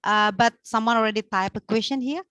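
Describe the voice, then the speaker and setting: A woman moderating an online webinar discussion in a polite, halting, unscripted way. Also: fairly high pitch, fairly bright tone, no room sound, clean studio sound. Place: indoors, online video call.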